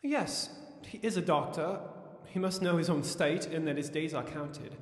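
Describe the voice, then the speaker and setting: A concerned older gentleman lawyer , acted by a man in an audio drama. He is earnest and formal in a fairly high voice, which trails into long reverb. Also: moderate pace, close to an everyday voice. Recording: reverberant, long echoing tail.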